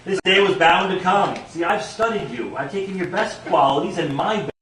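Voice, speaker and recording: A man's voice: high-pitched, a little fast, recorded from a distance. A man reading the part of a chatty, goofy young doctor in a comedy table read, rambling eagerly in an echoey, noisy room. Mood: cheeky. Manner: rambling, animated.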